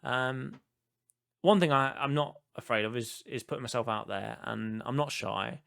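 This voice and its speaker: A man's voice: mid-range voice, normal speed, creaky, fairly bright tone. A British man hosting a podcast, casually telling personal stories in a candid, self-deprecating way. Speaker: podcast host.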